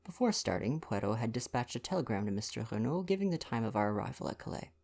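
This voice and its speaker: A man reading a bedtime story in a gentle, intimate ASMR style. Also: mid-range voice, big pitch swings, fast, creaky. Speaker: audio roleplay reader.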